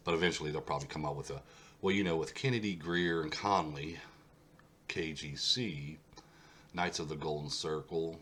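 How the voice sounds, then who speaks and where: A man with an extremely low voice, stuffed up and congested, hosts his own history channel. He walks through a map and keeps hammering home his points by repeating them. A faint hum sits under the recording. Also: steady tone, normal speed, fairly bright tone. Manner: insistent, repeats points for emphasis. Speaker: host of a history mystery channel. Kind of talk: solo video talk over a map.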